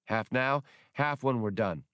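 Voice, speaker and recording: A man voicing a self-assured, businesslike woman in an audiobook, brisk and chiding. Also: mid-range voice, lively intonation, fairly bright tone, smooth, no echo, studio quality, voice pushed up. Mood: brisk, persuasive.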